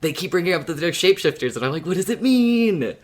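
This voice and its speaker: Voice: medium pitch, big pitch swings, a little fast. Chatty podcast host in casual discussion. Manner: chatty, laughs often. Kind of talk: casual fan discussion with recap.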